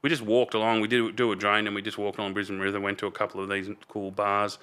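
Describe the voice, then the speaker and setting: A loud Australian man in his fifties with a fairly low but bright, forceful voice. He tells stories casually and rambles, often trailing off mid-sentence and starting over. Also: monotone, fast, clear, resonant tone. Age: 50s. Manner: rambling, trails off and restarts a lot. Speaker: podcast guest. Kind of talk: casual storytelling chat.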